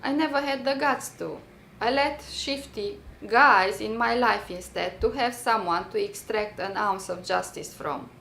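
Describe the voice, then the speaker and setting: A woman with a Romanian accent reads her own personal testimony essay in an emphatic, deliberate, rhetorical manner. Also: mid-range voice, creaky, a bit bright. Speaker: writer reading own work. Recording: roomy echo, faint background noise.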